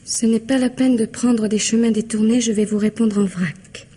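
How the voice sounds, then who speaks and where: A woman's voice: on the high side, steady tone, moderate pace, dark tone, slightly rough. An actress playing a cold, bitter, defiant young lady in a French radio mystery, with curt, taunting delivery.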